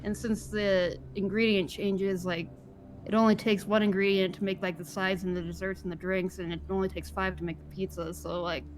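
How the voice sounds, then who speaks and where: A woman's voice: medium pitch, flat delivery, moderate pace, lightly acted, low-quality recording. A woman playing a young food worker in casual improvised game role-play, speaking in a plain, matter-of-fact way. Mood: weary venting.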